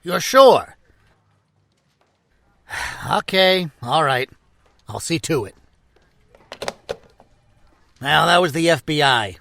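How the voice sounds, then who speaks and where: An actor playing a brusque, prejudiced senior police officer in an audio drama, gruff and blunt. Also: male voice, fairly high pitch, lively intonation, slow pace, long pauses, fairly bright tone, heavily acted. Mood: businesslike.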